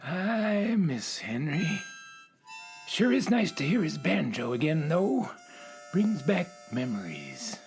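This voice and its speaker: A woman's voice: low-pitched, very animated, slow pace, darkish tone, faint music behind, exaggerated voice. A woman voicing a very old, lonely, forgetful male sun in a radio play, crotchety and confused. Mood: wistful. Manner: crotchety, confused, snaps then trails off. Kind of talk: radio drama acting.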